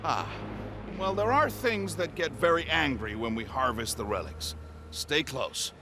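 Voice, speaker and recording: Lively, higher-pitched scientist adventurer. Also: male voice, unhurried, background noise. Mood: eager, explaining. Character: spirited, knowledgeable male scientist leading an expedition. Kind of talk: video game cutscene acting. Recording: music behind the voice.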